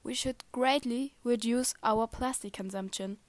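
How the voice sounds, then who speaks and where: A woman's voice: fairly high pitch, unhurried, dry recording. Earnest young woman, dry sound. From Germany. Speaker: podcast host. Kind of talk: reading prepared remarks.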